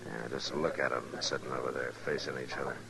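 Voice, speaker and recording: An actor playing a laconic frontier marshal in an old-time radio western, calm, measured and authoritative. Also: male voice, extremely low pitch, creaky, low-quality recording. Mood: grim, wary. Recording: old radio drama, crowd behind.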